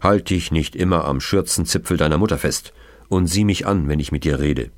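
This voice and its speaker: A man's voice: very deep voice, a little fast, no echo. A man voicing a stern, exasperated, reproachful father in a storybook reading.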